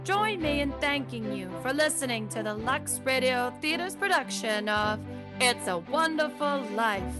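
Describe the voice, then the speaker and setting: A woman's voice: sits high, animated, unhurried, over music. A woman playing an old-time radio theater host, formal and presentational, in 1940s announcing style. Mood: formal, gracious.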